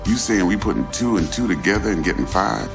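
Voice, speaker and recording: Game crime fixer: very deep, gravelly man, smooth, slangy and in command, music underneath. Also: very animated, fairly quick, exaggerated voice. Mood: firm, commanding. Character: big, slick, street-smart male crime fixer boss. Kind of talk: dramatic video game acting.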